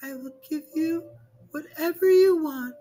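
Whiny spoiled princess girl, woman putting it on, way up high and drawn out. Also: unhurried, warm tone. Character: spoiled young princess, tearful and haughty.

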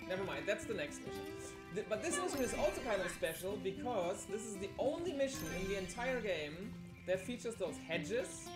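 A woman's voice: mid-range voice, big pitch swings, normal speed. A young woman whose first language is German, chatting through a live speedrun with many fillers. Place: indoor room at a live event. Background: game music.

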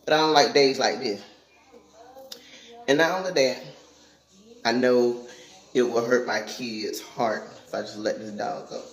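A middle-aged woman, chatting casually and plainly to viewers as a vlogger. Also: very deep voice. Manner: plainspoken, conversational, addressing viewers directly. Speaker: vlogger and parent. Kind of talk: casual vlog chat. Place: indoors in a house, kitchen and hallway. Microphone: phone at arm's length. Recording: roomy, echoey home sound.

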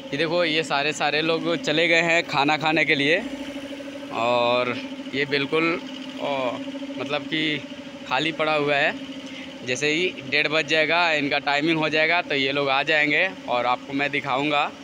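An Indian man with a fairly high voice talks informally as he shows a workshop around for a vlog. He tends to repeat himself in simple phrases. The handheld phone recording has clear background noise. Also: steady tone, unhurried. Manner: informal, repetitive narration. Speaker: vlogger showing around a factory. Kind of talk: casual walk-through vlog commentary. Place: large workshop and its yard.

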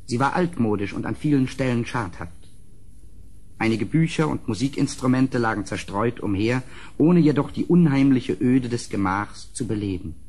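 Husky gothic tale narrator. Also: male voice, medium pitch, a little mellow. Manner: measured, literary, dramatic phrasing. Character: uneasy gentleman recounting a gothic horror tale. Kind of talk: scripted radio play narration.

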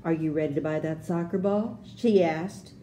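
A woman reading a children's storybook aloud, with expressive, deliberate phrasing for young listeners. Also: low voice, faint music behind.

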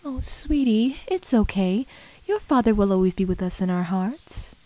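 A woman voicing a tender, consoling mother unicorn in an amateur cartoon drama, gentle and affectionate. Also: mid-range voice, animated, normal speed, natural voice. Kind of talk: amateur animated audio drama.

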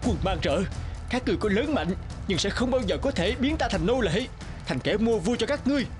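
A brave young cartoon warrior, proud, loud and defiant, voice raised high. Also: male voice, expressive, a little fast, over music. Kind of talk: animated fairy tale dialogue.

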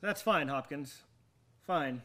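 A haunted, anxious gentleman in a gothic radio play. His voice sits high, with a bright, tense edge. He speaks theatrically in old-fashioned phrases, volatile and short of rest. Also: animated, takes it slowly, slight background noise. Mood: nervous. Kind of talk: radio drama acting with dramatic monologue.

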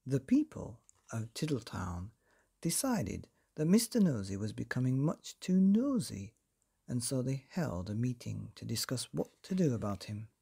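A man reading a children's book as an expressive bedtime storyteller, deliberately and with dramatic pauses. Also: mid-range voice, creaky, breathy edge.

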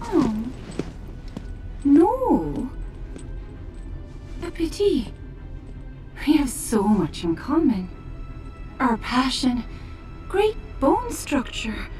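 An actress voicing a seductive, playful and haughty succubus in a game, with a sultry, teasing, drawn-out delivery. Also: female voice, high voice, mellow, full character voice. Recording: music behind the voice.

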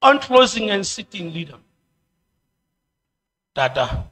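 A community member at a podium mic, pressing pointed questions and repeating words for emphasis. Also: male voice, sits high, very animated, takes it slowly, fairly bright tone. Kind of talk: public hearing comment. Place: community hall. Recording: long hall reverb.

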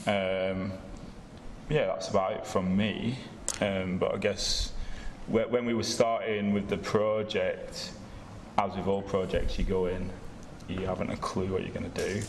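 A man's voice: low voice, level tone, unhurried. A man, a photographer, talking informally on a panel in a gallery, recorded from a distance. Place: large gallery room with seated audience. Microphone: distant camera microphone. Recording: echoey noisy room from far off.

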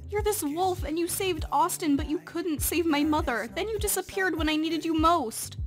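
A woman as a high-voiced, wounded young woman. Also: normal speed, soft background music. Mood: hurt, fearful. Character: grieving, betrayed young woman, wounded and distrustful. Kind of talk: amateur Minecraft roleplay acting.

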